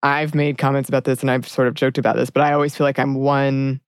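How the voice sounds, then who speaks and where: A woman co-hosting a podcast, talking candidly and reflectively about her self-doubt, often pausing and restarting her sentences. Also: rumbling low, flat delivery, clear, resonant tone, no room sound, clean studio sound. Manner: earnest, reflective, halting with restarts. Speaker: podcast co-host. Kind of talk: candid conversational podcast chat.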